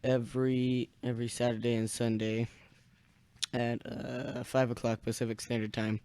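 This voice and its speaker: A young man hosting an amateur radio net in casual, halting chat, searching for words as he goes. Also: medium pitch, little pitch movement, a little mellow, clear, resonant tone, dry recording. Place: small indoor radio room.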